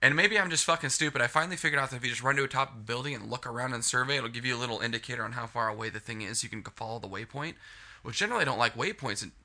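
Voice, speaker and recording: A man's voice: medium pitch, rapid-fire, sharp tone. Sniffly, swearing man ranting about games. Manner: frustrated, rambling, heavy swearing. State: sniffly from a cold. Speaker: video game reviewer. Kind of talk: casual ranting game review.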